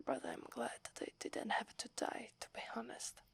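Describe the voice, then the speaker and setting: A woman with an Italian accent voicing a loyal, deferential young werewolf warrior in a breathy, hesitant ASMR whisper roleplay. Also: a little high, exaggerated voice. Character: loyal, eager young female werewolf warrior devoted to her alpha.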